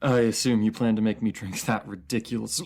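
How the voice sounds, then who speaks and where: Man acting a gravelly, sardonic captive fantasy ruler. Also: fairly low pitch, steady tone, darkish tone. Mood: strained, wry. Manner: sardonic, formal, haughty phrasing with taunting pauses. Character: proud captive enemy ruler, sardonic, bitter, secretly admiring. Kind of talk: dramatic fantasy audio roleplay acting.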